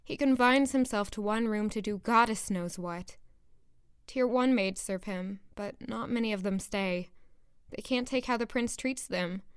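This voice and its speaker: An actress playing an earnest, nervous young palace maid who narrates and speaks in a first-person audio drama. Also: female voice, mid-range voice, measured pace, clear, resonant tone, no room sound, studio quality, lightly acted. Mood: curious.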